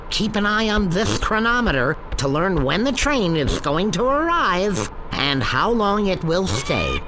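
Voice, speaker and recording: A man voicing a zany, excitable cartoon duck who hosts a game show with a showy announcer's delivery in a video game. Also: high-pitched, noisy background, full character voice.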